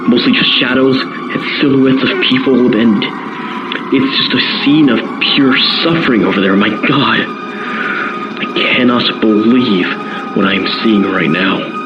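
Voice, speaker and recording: A man's voice: medium pitch, unhurried, muffled recording, music in the background, natural voice. A man playing a shaken news reporter giving earnest, descriptive live commentary on a disaster in an audio drama. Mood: horrified. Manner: descriptive, earnest, increasingly dismayed. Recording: noisy location with loud background ambience.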